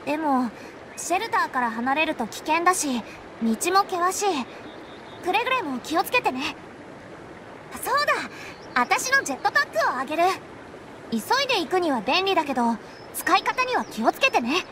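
An actress playing a friendly, caring young girl guide in an anime game, speaking casually and giving gentle instructions. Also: very high voice, sing-song, moderate pace, background noise. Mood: caring, helpful. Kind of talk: Japanese anime game dub acting. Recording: game music behind.

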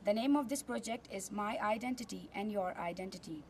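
A woman's voice: mid-range voice, a little fast, slight background noise. Teenage girl, earnest, memorized speech, outdoors, faint background ambience. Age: teens. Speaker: student presenter. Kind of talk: recited presentation. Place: outdoors on a mountain terrace.

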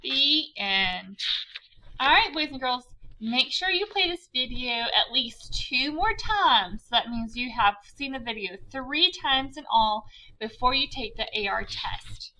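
Female primary teacher for a children's read-aloud: lively, swooping intonation, bright tone, deliberate delivery. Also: high-pitched, poor audio. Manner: deliberate, clearly spelled-out instruction for young children.

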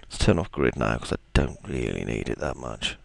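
A man with a gravelly, deep voice, chatting his way through a software tutorial with plenty of ums and asides. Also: very animated, moderate pace, dry recording. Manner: chatty, self-deprecating, thinks aloud with ums. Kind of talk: screen-recorded software tutorial.

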